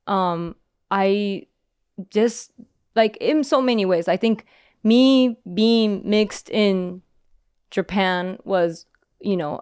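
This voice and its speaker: Woman chatting on a talk show, super smooth voice, soft, lots of ums, dry mic. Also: medium pitch, no room sound. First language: English. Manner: hesitant, many ums and restarts, soft-spoken. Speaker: guest. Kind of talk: casual interview chat.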